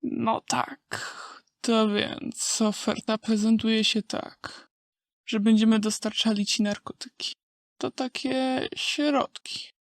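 A woman acts out an aging wolf drug dealer in a radio play. The voice is creaky and unhurried, drawing words out with smooth, insinuating scorn. It sounds dry and close. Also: on the high side, level tone, no echo. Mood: businesslike, coaxing. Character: old scheming male wolf, drug dealer, smooth and contemptuous. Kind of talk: Polish amateur audio drama acting.

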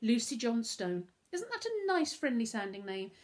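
A woman voicing a dramatic, excitable young girl in a children's storybook, with theatrical, indignant exclamations. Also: on the high side, moderate pace, pitched above the performer's own voice. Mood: earnest. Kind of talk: acted dialogue in a children's storybook.

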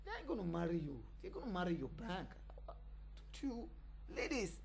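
A young man from Nigeria with a Nigerian accent, a comedian and musician answering interview questions in an animated, repetitive way with joking asides. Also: high-pitched, normal speed, creaky, crowd noise. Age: young adult. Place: small bright indoor room. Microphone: desk microphone in front.

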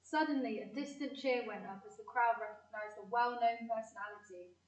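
A woman playing a fond, lively narrator recalling childhood in a community audio play. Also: fairly high pitch, a little fast, natural voice. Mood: fond storytelling. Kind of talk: scripted storytelling in audio play. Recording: echoey room, slightly distant mic.

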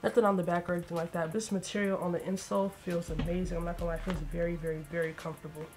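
A woman's voice: fairly low pitch, level tone, very fast, a little mellow, faint music behind. A young woman talking casually through a sneaker unboxing, a bit congested, in a slangy, chatty way. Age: young adult. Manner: laid-back, chatty, slangy. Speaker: sneaker vlogger. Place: bedroom with shoe shelves, indoors.

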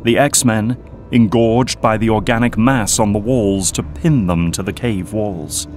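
A man giving scripted narration for an audio drama in an even, descriptive manner. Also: lowish voice, unhurried, a little hiss or hum.

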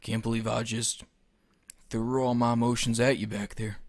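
Actor as a gravelly, casual drug dealer. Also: male voice, mid-range voice, little pitch movement, moderate pace, no room sound, clean studio sound, natural voice. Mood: warm, awkward. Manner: casual, foul-mouthed. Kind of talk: audio drama acting.

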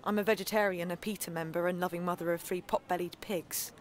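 A woman's voice: fairly low pitch, fairly even intonation, fast talker, natural voice. An actress playing a blunt, quirky, sardonic woman in an audio play, with a dry, teasing, offhand delivery. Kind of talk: naturalistic audio play acting.

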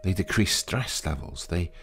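Low-voiced man guiding a meditation over music. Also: expressive, vocal fry, sharp tone. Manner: soothing, unhurried, with pauses between short phrases. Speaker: meditation guide. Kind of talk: guided meditation.

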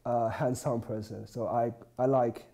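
A man's voice: medium pitch, fairly even intonation, a little slow, gravelly, mellow. A young man with a Chinese accent, an engineering student answering interview questions. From China. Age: young adult.

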